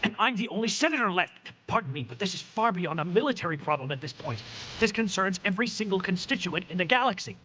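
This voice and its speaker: Brash slangy politician, high voice, swoops all over, self-important, video game cutscene. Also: male voice, fast, slight background noise. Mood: pompous. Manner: excitable, pompous, slangy. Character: brash, scheming male senator, self-important and foul-mouthed.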